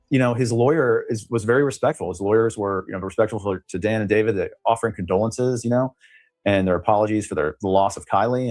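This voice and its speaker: A man's voice: on the low side, a little mellow. A man speaking as an attorney being interviewed, recounting things in a casual, explanatory way. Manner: conversational, explanatory.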